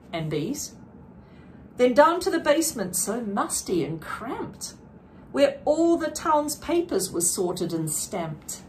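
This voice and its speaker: Woman, slightly high voice, slow pace, expressive rhyming storybook reading, dramatic emphasis, some background noise. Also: poor audio. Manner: deliberate, dramatic emphasis with brief explanatory asides. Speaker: children's book reader. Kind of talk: expressive read-aloud of a rhyming picture book.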